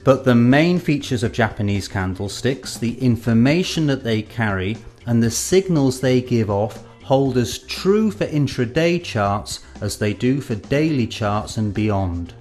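A man's voice: mid-range voice, lively intonation, a little slow, few pauses, a little mellow. Man narrating an educational film over music. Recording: music under the voice.

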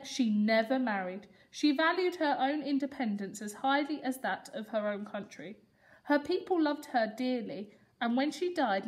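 A young woman reading stories aloud to young children like a teacher, expressively and with explanatory emphasis. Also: on the high side. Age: young adult. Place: school classroom reading corner, indoors.

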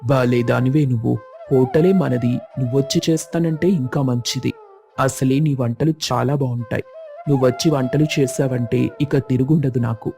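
A man voicing a friendly, informal brother-in-law in an animated moral story, speaking in a familiar, colloquial way. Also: medium pitch, moderate pace, vocal fry, faint music behind, natural voice. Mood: familiar, welcoming. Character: friendly, informal brother-in-law running a struggling small hotel.

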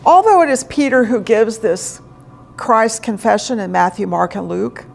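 A woman in her sixties, a pastor, preaching a sermon in slow, deliberate phrases with emphatic pauses, recorded in a small chapel. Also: mid-range voice, vocal fry. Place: small chapel in front of a stone wall.

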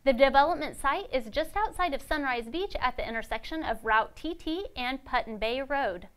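A young woman anchoring a local news update in a studio, reading announcements in a crisp, even newsreader style. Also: high voice, moderate pace. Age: young adult.

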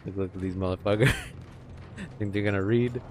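A man's voice: fairly low pitch, steady tone, moderate pace, slight background noise. A young man giving laid-back, joking, slangy commentary in casual gameplay chat. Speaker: gaming commentator. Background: loud game music and sounds.

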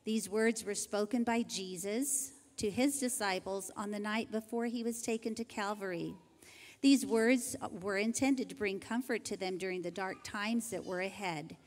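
Older woman teacher in an echoing church. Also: medium pitch. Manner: gentle, measured, reading from notes. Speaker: kindergarten teacher. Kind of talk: reading graduation introductions. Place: large church sanctuary. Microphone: handheld microphone. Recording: hall reverb from a church PA.

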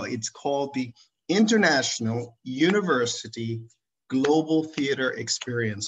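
A man's voice: mid-range voice, a bit bright, dull audio. A man hosting an online interview, chatty and rambling, with frequent restarts. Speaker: interview host. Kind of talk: casual video interview.

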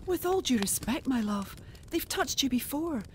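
An actress playing a gentle, devoted, worried wife in an audio drama, soothing and earnest. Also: female voice, high voice, animated, moderate pace, a little hiss or hum. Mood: tender, worried. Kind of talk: full-cast audio drama acting.

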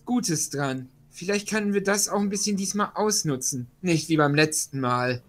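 A man's voice: on the high side, expressive, normal speed. A man chatting casually as a player in a tabletop role-playing game.

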